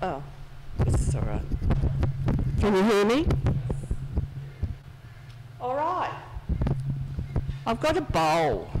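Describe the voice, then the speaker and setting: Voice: mid-range voice, huge pitch swings, slow, pauses often, very noisy. A speaker giving a children's talk in church, chatty and conversational as they tell a story. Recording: distant, reverberant church hall sound.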